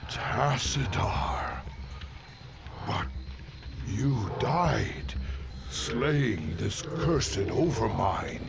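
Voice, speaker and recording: An actor playing a grave, ancient alien warrior mystic in a video game, gravelly and breathy, with solemn formal delivery. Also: male voice, medium pitch, expressive, extremely slow, pauses often, lo-fi sound, noisy background, heavily acted. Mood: disbelieving. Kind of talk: dramatic game narration and dialogue.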